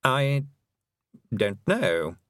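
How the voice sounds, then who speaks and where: A man's voice: medium pitch, sing-song, drawn-out words, fairly bright tone, dry recording, clean studio sound, pitched above the performer's own voice, exaggerated voice. A man voicing a fussy, complaining talking toad with a prim manner. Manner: prim, grumbling.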